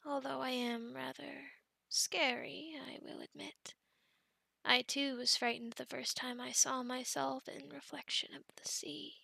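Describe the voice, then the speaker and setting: Possessive lovestruck she-werewolf, played by a woman, ASMR roleplay. High, very creaky whisper, deliberate and coaxing with quiet menace. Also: fairly even intonation, no room sound, heavily acted. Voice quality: breathy whisper with creaky edges.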